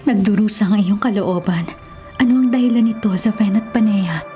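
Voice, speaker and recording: Woman playing a gentle, concerned Filipino wife. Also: mid-range voice, brisk, soft background music, close to an everyday voice. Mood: tender, concerned. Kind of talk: Filipino radio drama acting.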